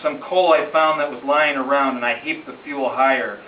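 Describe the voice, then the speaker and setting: A man's voice: medium pitch, steady tone, normal speed. Man reciting a ballad, roomy and distant. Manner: storytelling, rhythmic, emphatic phrasing. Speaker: amateur poem reader. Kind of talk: dramatic recitation of a narrative ballad. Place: room in a home, at a gathering. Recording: roomy distant muffled home recording, faint noise.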